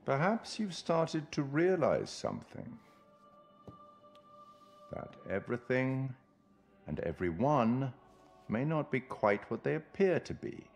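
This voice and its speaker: Slow, enigmatic male curator. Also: mid-range voice, very animated, creaky, darkish tone, faint music behind, full character voice. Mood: teasing, ominous. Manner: formal, wry, deliberate. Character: enigmatic, sardonic male curator of grim stories. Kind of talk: theatrical scripted monologue to the viewer.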